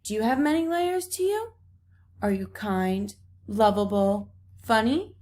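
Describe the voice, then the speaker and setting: A woman with a fairly high voice talks as a teacher to young listeners during a read-aloud. Her delivery is instructive and full of questions, guiding children through a picture book. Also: lively intonation, unhurried, darkish tone.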